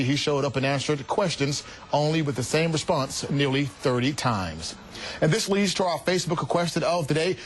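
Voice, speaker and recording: A man's voice: fairly high pitch, slight background noise. A man anchoring a morning newscast, reading scripted news to viewers.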